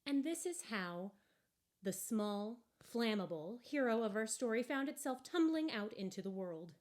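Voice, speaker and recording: A woman's voice: on the high side, lively intonation, a little slow, a little mellow. Woman reading a wry storybook aloud. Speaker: storybook reader. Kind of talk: expressive scripted story narration.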